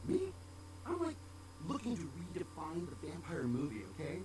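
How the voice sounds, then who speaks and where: Pretentious rambling screenwriter, video game; high-pitched, distant from mic, noisy. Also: male voice, wildly swooping pitch, moderate pace. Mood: excited, boastful. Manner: rambling slacker talk full of likes, self-important. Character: eager, pretentious aspiring screenwriter and motel manager, man.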